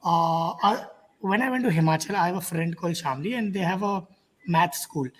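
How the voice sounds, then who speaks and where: A woman's voice: fairly low pitch, normal speed, low-quality recording. A young woman from India giving a casual personal account on a panel, hesitantly piecing her thoughts together.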